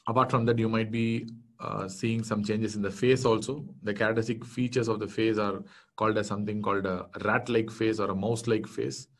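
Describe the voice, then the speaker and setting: A man in his thirties with an Indian English accent, a native Tamil speaker, giving a casual online medical lecture with many fillers and restarts. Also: lowish voice. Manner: explanatory, conversational, many fillers and self-corrections. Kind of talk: online exam-prep lecture. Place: small indoor room.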